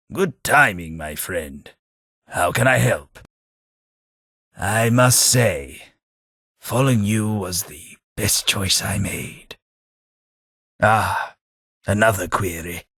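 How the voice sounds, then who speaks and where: An actor playing an earnest, loyal aristocratic male companion in a game, speaking in a formal manner with a husky voice. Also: fairly low pitch, a bit bright, dry recording, clean studio sound, exaggerated voice. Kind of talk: game voice lines.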